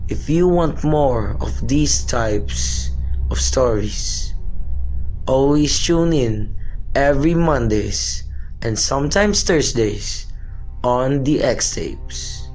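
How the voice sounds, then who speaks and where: Guy announcing a radio drama outro, slow delivery, mid voice, faint music behind. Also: mid-range voice, expressive, a little mellow, poor audio. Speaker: show announcer.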